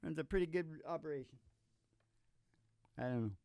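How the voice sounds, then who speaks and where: A man's voice: a little high, moderate pace, dark tone, no room sound. An older man hosting in a rambling, comic, teasing way, often chuckling, into a stage microphone. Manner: jokey, teasing, laughs often. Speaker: podcast host and country singer. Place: small curtained studio stage. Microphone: stage microphone on a stand. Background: acoustic guitar.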